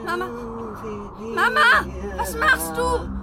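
A woman's voice: very high-pitched, animated, slow, a loud crowd, heavily acted. An actress playing a frightened young daughter in a radio play, crying out to her mother in alarm. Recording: loud music over the voice.

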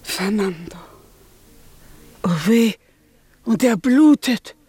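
An actress playing a stricken, tender wife, breathy and halting, in a 1950s German radio play. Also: female voice, a little high, lively intonation, mellow, no echo, exaggerated voice. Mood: anguished. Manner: breathy, halting, half-whispered.

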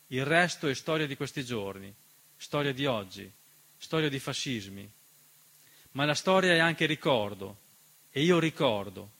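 A man's voice: fairly high pitch, bright, forceful tone. A man performing a scripted theatrical monologue as narrator, declamatory and accusatory, full of rhetorical questions. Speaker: stage narrator and writer-performer.

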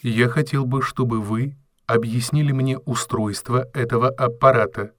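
A man playing a polite, emotionless household robot in an audio drama, speaking formally and precisely in a deadpan, literal way. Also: medium pitch, moderate pace, no echo, studio quality, faint music behind, close to an everyday voice. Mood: polite, impassive.

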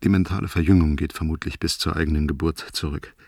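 A man's voice: extremely low pitch, fairly even intonation, quick pace, a little mellow, slightly rough, dry recording, clean studio sound, full character voice. An actor playing a weary older crewman dictating a grave spaceship log in a radio play. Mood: grave, factual. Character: weary oldest crewman logging a spaceship emergency.

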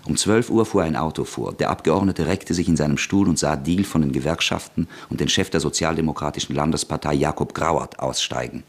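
A man narrating a radio drama in a measured, even way. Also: extremely low pitch, gravelly, breathy edge. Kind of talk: scripted narration.

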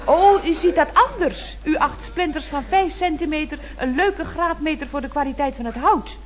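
An actress playing a chatty, cheeky office secretary in an old radio comedy, mock-polite and playfully teasing. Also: female voice, high-pitched, normal speed, dull audio, natural voice. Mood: teasing, mock concern. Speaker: actor in a radio comedy serial. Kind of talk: 1970s Dutch radio comedy acting. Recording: noisy, dated radio recording.

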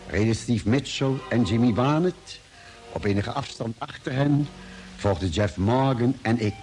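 Guy acting as solemn space voyage narrator, radio play, dramatic phrasing, pitch moves a lot, faint music. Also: darkish tone, close to an everyday voice. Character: expedition member solemnly recounting a space voyage.

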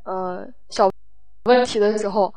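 A woman chatting casually in a halting, hesitant way as she recounts production work as a guest. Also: medium pitch, a little mellow, no room sound.

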